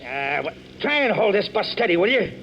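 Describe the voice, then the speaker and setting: A man playing an excitable, rough-talking thug in a 1940s radio crime drama. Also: high voice, moderate pace. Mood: urgent. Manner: rough, ungrammatical gangster talk. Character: excitable lowbrow hijacker thug riding shotgun. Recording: dull, very noisy vintage radio recording. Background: vehicle noise.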